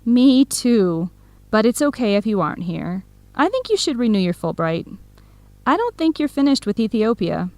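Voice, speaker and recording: A woman's voice: on the high side, sing-song, normal speed, a little mellow, no echo. A woman playing a supportive, frank young best friend in a radio drama, with a direct, encouraging, conversational manner. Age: young adult. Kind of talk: scripted radio drama dialogue.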